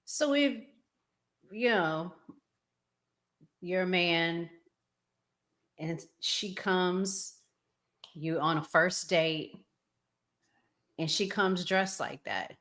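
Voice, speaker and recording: Slow-paced American woman podcast host. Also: lowish voice, a bit bright. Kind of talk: casual conversational questioning.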